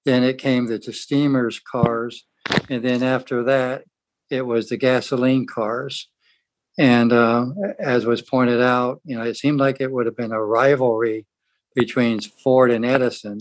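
A man talking casually in a book discussion group, in a halting, rambling way. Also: medium pitch, level tone, no room sound, low-quality recording. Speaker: book club participant.

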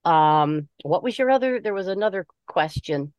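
A woman giving her opinions in an informal book discussion, speaking haltingly and deliberately as she searches for words. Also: on the low side, animated, a little mellow, dry recording. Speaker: book group member.

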